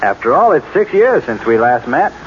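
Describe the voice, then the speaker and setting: An actor playing an amiable, unsuspecting architect with a breezy, colloquial manner in an old radio drama. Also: male voice, medium pitch, sing-song, moderate pace, close to an everyday voice. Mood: cheerful, friendly. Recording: muffled, noisy old radio recording.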